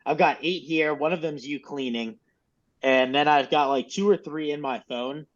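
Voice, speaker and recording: A man's voice: fairly high pitch, moderate pace. A man coaching a group in casual, informal, mildly profane instructional talk over a lo-fi video call. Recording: dull, lo-fi video call audio.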